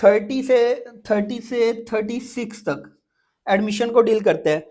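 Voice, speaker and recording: A woman's voice: a little high, measured pace, mellow. A woman with a Pakistani accent giving an informal lecture as an exam prep teacher, explaining step by step with frequent repetition and check-ins.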